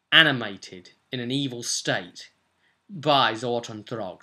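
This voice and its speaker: A man's voice: mid-range voice, bright, forceful tone. A young adult man talking casually as a game master explaining a tabletop role-playing session, stressing key words conversationally. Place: small cluttered room at home, indoors.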